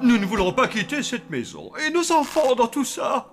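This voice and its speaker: An actor playing a polite, worried zombie parent, easily dismayed, in a French cartoon dub. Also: male voice, very high-pitched, wildly swooping pitch, normal speed, soft background music, exaggerated voice.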